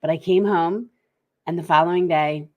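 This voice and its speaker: A woman's voice: lowish voice, dull audio. Woman host with a head cold. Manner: conversational, lively, speeds up and slows down. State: head cold, slightly congested. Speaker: podcast host. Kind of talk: solo talk giving business tips.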